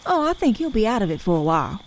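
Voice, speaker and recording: A woman's voice: medium pitch, very animated, fast talker, slight background noise, poor audio. A woman acting a shy, self-doubting teenage girl in a period audio drama. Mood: shy, playful. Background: crickets and night insects.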